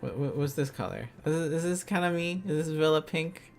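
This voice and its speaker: A man's voice: on the high side, moderate pace, creaky, darkish tone, soft background music. A man livestreaming in a casual, playful way, thinking aloud as he chats to viewers. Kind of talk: casual livestream study chat.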